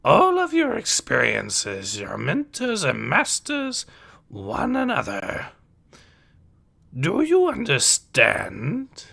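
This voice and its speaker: A man's voice: on the high side, wildly swooping pitch, takes it slowly, gravelly, sharp tone, exaggerated voice. A man voicing a small ancient alien Jedi master in a dramatic comic read-aloud, wise and solemn, with inverted word order and musing hums. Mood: solemn, teacherly.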